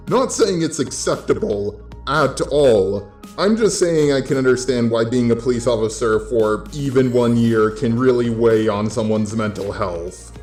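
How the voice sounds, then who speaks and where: American man, medium pitch, blunt, casual, sweary commentary, echoey recording, quiet music underneath. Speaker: video commentator. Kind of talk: opinion commentary monologue.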